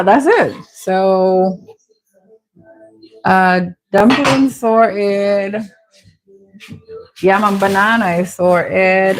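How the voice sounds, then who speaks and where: A middle-aged Jamaican woman talks through her cooking in a casual, candid way. Her pace is slow and her pitch sits mid-range, with a playful manner. She is recorded in a home kitchen with some faint noise behind her. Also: low-quality recording. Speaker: cooking vlog host. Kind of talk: casual chatty cooking commentary.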